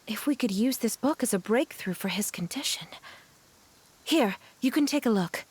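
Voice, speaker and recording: Higher-voiced, earnest game mother. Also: expressive, moderate pace, a little mellow, husky. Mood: sorrowful. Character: gentle, resolute, sorrowful mother of an ailing boy. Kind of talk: video game voice acting.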